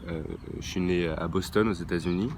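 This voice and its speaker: A teenage boy with a very low voice answers questions hesitantly, stopping often to restart. He is recorded outdoors at a training ground near a motorway. Clear traffic noise runs underneath. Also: steady tone, brisk. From France. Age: teens. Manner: hesitant, many fillers and restarts. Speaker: young baseball player interviewed. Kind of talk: interview answers. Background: traffic and ambient noise.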